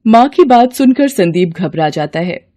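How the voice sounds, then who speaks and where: Mellow woman narrating stories. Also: mid-range voice, expressive, measured pace. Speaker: storyteller, narrator. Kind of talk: scripted story narration.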